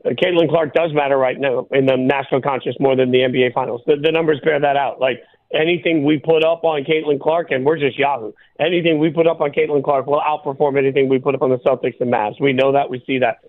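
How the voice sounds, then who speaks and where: A man's voice: mid-range voice, steady tone, fast, dry recording. A man speaking as a sports journalist guest, arguing his case with rhetorical questions over a thin phone-like radio line. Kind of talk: sports debate by call-in.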